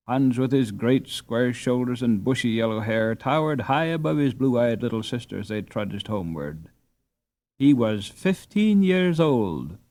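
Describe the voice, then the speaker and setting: A man reading a story aloud as an audiobook narrator, in an expressive, dramatic storytelling manner. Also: mid-range voice.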